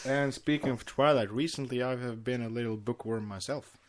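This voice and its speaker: A man with a mid-pitched voice co-hosts a podcast. He moves between reading a story aloud and casual, unpolished chat. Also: moderate pace. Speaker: podcast co-host reading fan fiction.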